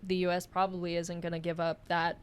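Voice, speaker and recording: A woman's voice: on the low side, monotone, fairly quick, smooth. A woman from Hawaii, a podcast guest, talking casually with lots of fillers and hedges. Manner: chatty, lots of "like" and "um" fillers, hedging. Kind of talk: casual conversation.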